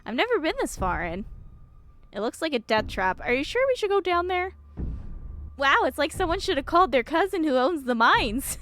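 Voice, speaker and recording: A woman's voice: high voice, very animated, normal speed, fairly bright tone, slight background noise, voice pushed up. A woman voicing an anxious but caring young companion in a video game adventure. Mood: uneasy.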